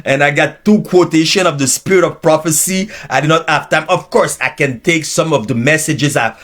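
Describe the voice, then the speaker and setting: Male preacher from Haiti, first language Haitian Creole; unhurried, drawn-out sermon delivery with a slightly higher voice. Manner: unscripted, slow and drawn out, with restarts and swings in pitch and loudness. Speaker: preacher and teacher.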